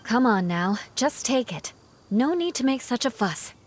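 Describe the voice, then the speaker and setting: A woman's voice: a little high, big pitch swings, a little slow, background noise. An actress playing a composed, kindly, no-nonsense young woman in a video game. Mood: brisk, modest. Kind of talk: video game cutscene acting.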